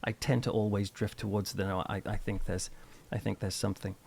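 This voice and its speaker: Man, middle-aged, lower pitch, thoughtful, discursive, conversational interview guest. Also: little pitch movement, fairly quick, creaky, darkish tone, slight background noise. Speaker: interview guest, church minister and author. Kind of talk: conversational interview, explaining ideas.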